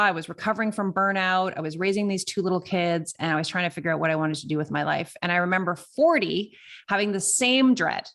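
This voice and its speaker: Candid fifty-year-old woman, slightly low voice. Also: a bit bright. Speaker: podcast host. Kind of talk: casual, reflective solo podcast monologue.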